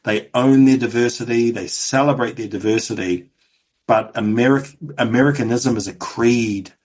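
A New Zealand man talking as a guest in a casual interview, his voice husky and a little rough. He speaks in halting runs, restarting phrases and leaning on fillers as he works through his points. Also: medium pitch, darkish tone. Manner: halting, frequent restarts and "you know" fillers. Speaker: television producer, guest.

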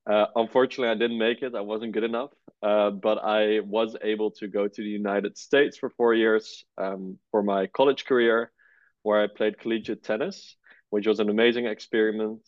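A man's voice: lowish voice, steady tone, moderate pace, no echo. Easygoing young man, dull audio. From Netherlands. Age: 20s. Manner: easygoing, many "uh" fillers. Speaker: guest, startup co-founder. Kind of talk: relaxed conversational interview.

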